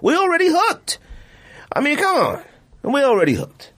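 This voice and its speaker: Animated slangy male podcast host. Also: moderate pace. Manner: animated, slangy, jumps into high-pitched asides. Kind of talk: casual chat.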